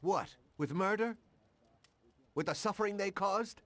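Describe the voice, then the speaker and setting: An actor playing a cynical, righteous police detective in a video game, sarcastic and challenging. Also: male voice, high-pitched, unhurried, a bit bright, no room sound. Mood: indignant.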